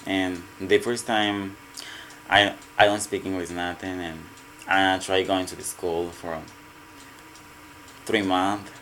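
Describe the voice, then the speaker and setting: Man, Mexican Spanish accent, Spanish native speaker, very deep voice, halting, repeats words, faint hiss. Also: level tone, fairly bright tone, poor audio. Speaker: restaurant cook. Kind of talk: casual interview.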